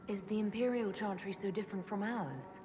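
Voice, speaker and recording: An actress playing an earnest female leader in a fantasy video game, speaking in a measured, questioning way. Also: medium pitch, close to an everyday voice. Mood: calm, curious. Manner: measured, inquisitive, composed. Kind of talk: video game character dialogue. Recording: reverberant hall, clear background ambience noise.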